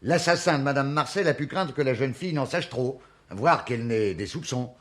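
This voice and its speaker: A man's voice: medium pitch, moderate pace, close to an everyday voice. A man playing a persuasive, insistent sleuth in a French radio mystery, reasoning emphatically. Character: insistent, persuasive amateur sleuth pressing the police.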